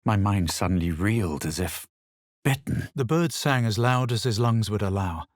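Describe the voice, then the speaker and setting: A man voicing a haughty, self-assured fantasy adventurer in a video game, measured and superior in manner. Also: fairly low pitch, dry recording, studio quality, close to an everyday voice. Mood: brooding, composed. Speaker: video game player character. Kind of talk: scripted game voice lines, narration and spell incantations.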